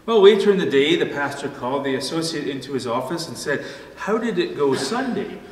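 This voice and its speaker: Male preacher, deliberate sermon delivery, roomy echo, faint noise behind. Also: a little high, very animated. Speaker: pastor. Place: church room at a wooden pulpit. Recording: echoey church hall, distant mic, faint noise.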